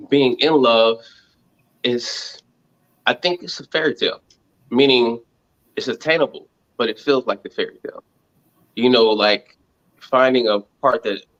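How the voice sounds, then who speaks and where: A man, answering casual interview questions in a halting, reflective way, thinking aloud. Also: on the low side, a bit bright, muffled recording. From Montgomery, Alabama, United States. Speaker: reality show contestant interviewed as guest.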